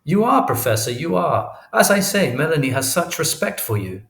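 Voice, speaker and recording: In a roomy recording, a man acts out a humble working father from a novel. He is indignant and earnest, pitched up and stressing his words heavily. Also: big pitch swings, a bit bright. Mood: earnest, pleading. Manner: halting, heavily stressed, morally outraged. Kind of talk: audiobook character voice.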